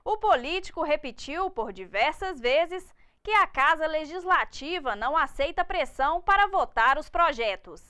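High, bright woman reporter. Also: lively intonation, normal speed. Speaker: news reporter. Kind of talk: scripted news report narration.

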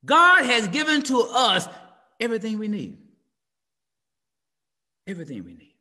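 A middle-aged man preaching an impassioned sermon from home over a video call, swinging from shouts down to hushed near-whispers in a repetitive cadence. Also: extremely high pitch, very animated, unhurried. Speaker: pastor. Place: home room with window blinds. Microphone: video call webcam. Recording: video call audio with long reverb.

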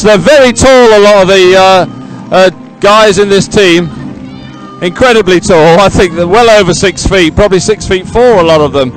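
High-pitched male live sports commentator. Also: low-quality recording. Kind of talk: live, ad-libbed event commentary.

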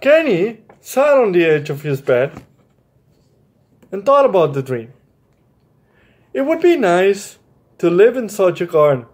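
A young man with a slightly high voice hosts a story time for children. He speaks very slowly and haltingly, often doubling back on a phrase as he addresses his young listeners. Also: very animated, a little mellow. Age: young adult. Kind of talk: children's story time, read-aloud and chat to viewers. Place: small bright room indoors.

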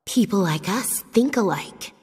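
A woman's voice: mid-range voice, expressive, measured pace, darkish tone, slightly rough. An actress playing a bloodthirsty young samurai schoolgirl assassin, intense and profane, in a video game. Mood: taunting. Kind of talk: dramatic video game voice acting. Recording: long reverb, music behind.

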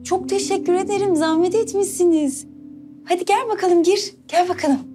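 A woman's voice: extremely high pitch, normal speed, over music. An actress playing a reserved, weary woman in her thirties in a television drama, restrained and firm yet polite. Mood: gracious. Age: 30s.

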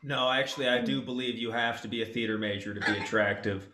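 A man's voice: mid-range voice, steady tone, fast talker, sharp tone, roomy sound, a little hiss or hum. A young man chatting casually as a panelist on a group video call. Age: young adult. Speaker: cast member in a Q&A. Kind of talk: casual chat. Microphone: video call microphone.

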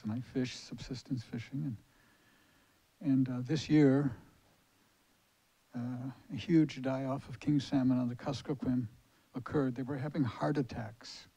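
A man's voice: medium pitch, dark tone. An older man in the audience of a large hall, explaining plainly into a handheld mic with some fillers. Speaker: audience member. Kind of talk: public comment at a lecture. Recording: dull lo-fi hall audio.

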